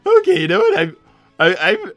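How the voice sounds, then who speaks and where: A man voicing a cocky, dramatic young male hawk, excitable and boastful, in improvised cartoon roleplay. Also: very high-pitched, moderate pace, gravelly, no echo, faint music behind, exaggerated voice. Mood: sheepish, excitable.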